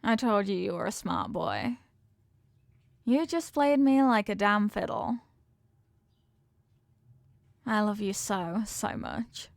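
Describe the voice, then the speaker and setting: A woman's voice: medium pitch, lively intonation, slow, creaky, long pauses, dry recording. A woman playing a confident mafia boss girlfriend in an intimate romantic audio roleplay, talking tough but tenderly with affectionate slang. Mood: tender, playful. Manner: affectionate tough talk with slangy pet names.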